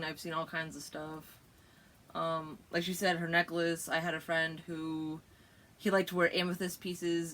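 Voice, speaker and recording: Low-voiced woman chatting casually. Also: a bit bright. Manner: chatty, giggly, explaining with many fillers. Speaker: podcast host. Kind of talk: casual chat.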